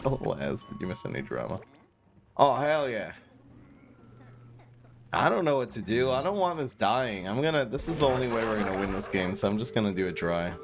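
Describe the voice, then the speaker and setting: A man's voice: lowish voice, very animated, moderate pace, long pauses, soft background music. A man in his thirties casually commenting on a card game he plays on stream, chatting and joking with his viewers from a small room. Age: 30s. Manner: chatty, self-mocking, reads chat aloud.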